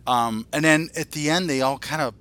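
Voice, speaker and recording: A man's voice: fairly high pitch, moderate pace, fairly bright tone. A man in his forties co-hosting a podcast, ranting casually in a blunt, profane, irreverent way. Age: 40s. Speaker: podcast co-host.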